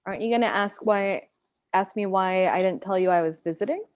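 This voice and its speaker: A woman's voice: mid-range voice, brisk, a little mellow, lightly acted. A woman playing a frank, skeptical friend who reproaches someone, in a table read of a screenplay. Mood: reproachful.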